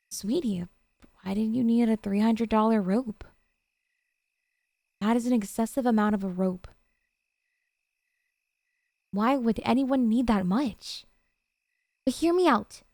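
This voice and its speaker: Woman acting a cutesy, high-voiced obsessive yandere girlfriend. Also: gravelly, darkish tone, dry recording, clean studio sound. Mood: sheepish justifying. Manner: cutesy, coy, hesitant asides to a sweetheart. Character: obsessive, sweetly unhinged young yandere girlfriend, needy and possessive. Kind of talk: intimate ASMR roleplay monologue.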